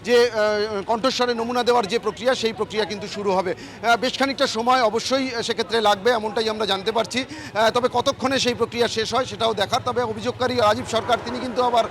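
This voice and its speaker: A male field reporter speaks live from outdoors. His voice is very high for a man and his delivery is quick. He is wordy and emphatic, often saying the same thing twice in new words. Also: steady tone. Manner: wordy, emphatic, repetitive phrasing. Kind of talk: live on-location news report. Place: outdoors in a city, outside a courthouse.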